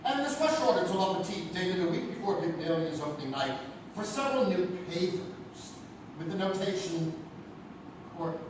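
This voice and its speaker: Male ghost-story narrator: theatrical, high, widely swinging pitch, heavy stage echo. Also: very animated, normal speed. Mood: suspenseful. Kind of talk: dramatic scripted narration, live radio theatre. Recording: echoey, noisy live theatre from far off.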